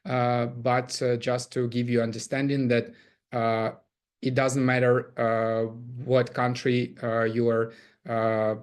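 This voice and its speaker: Halting Ukrainian-accented man, flat delivery. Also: medium pitch, clear, resonant tone. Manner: hesitant, many filler pauses. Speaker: camp CEO presenting. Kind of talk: webinar presentation.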